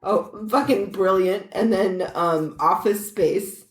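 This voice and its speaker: Female podcast co-host with an average-pitched voice, enthusiastic, sweary, chatty delivery, recorded in an echoey room. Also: slow pace. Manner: enthusiastic, profane. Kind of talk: casual chat.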